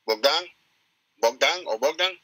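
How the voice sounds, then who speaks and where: A man hosting a live audio room, thanking speakers and calling the next one in a courteous, brisk way. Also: a little high, expressive, pushed and bright, no room sound. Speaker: host.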